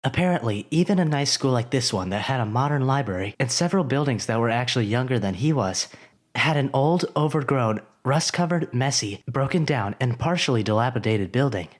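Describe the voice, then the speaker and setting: A man narrates a story, letting his pitch rise and fall freely. His delivery is expressive and clearly scripted, with a storyteller's lift on the key phrases. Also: a little fast.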